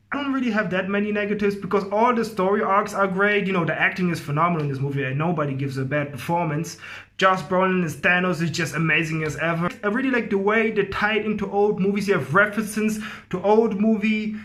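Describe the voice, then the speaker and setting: A man's voice: high voice, fairly even intonation, brisk, fairly bright tone. A man giving a casual, effusive movie review to viewers, rambling and often repeating himself. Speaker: film review host.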